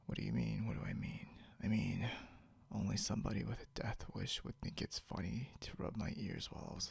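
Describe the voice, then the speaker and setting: Man voicing a beast-eared male hunter, ASMR roleplay, heavy vocal fry, swooping intonation, grumpy, teasing, drowsy, breathy drawl. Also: full character voice. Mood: annoyed, flustered. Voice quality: deep, gravelly, breathy. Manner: drowsy, sardonic, half-whispered drawl with sighs. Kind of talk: ASMR roleplay, intimate monologue to the listener.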